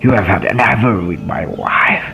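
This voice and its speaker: Bitter male healer out for revenge played by a man, very low, creaky, menacing half-whisper, radio play. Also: expressive, a little slow, bright, forceful tone, lo-fi sound, faint music behind, full character voice. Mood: bitter, vengeful. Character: bitter, vengeful male healer confronting his king. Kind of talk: student radio drama acting.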